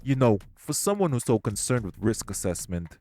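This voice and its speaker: A man voicing hostile crime bosses in an audio roleplay drama, profane and confrontational, with soft music behind. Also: medium pitch, sing-song, moderate pace, close to an everyday voice. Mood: sneering. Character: hostile male crime bosses, magic gangsters.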